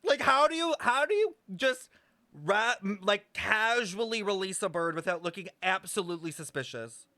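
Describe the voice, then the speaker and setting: Sassy higher-voiced male game streamer. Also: animated, normal speed, pushed and bright, no echo. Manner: blunt, sweary, sassy, dramatic. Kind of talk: live gameplay commentary, casual chat. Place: close, dry microphone on a live stream.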